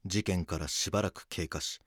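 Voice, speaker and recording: An actor playing an earnest police detective who narrates his case in a grave, measured way for an audio drama. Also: deep voice, steady tone, a bit bright, slightly rough, no room sound, studio quality. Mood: grave narration.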